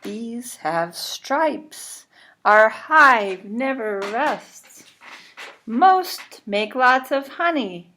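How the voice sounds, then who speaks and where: A woman voicing a cheerful, eager young female honeybee in a children's storybook, chanting in a deliberate, exclamatory way. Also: on the high side, expressive, pushed and bright, pitched above the performer's own voice, heavily acted. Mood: happy. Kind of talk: children's storybook read-aloud. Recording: clearly noisy recording with clicks.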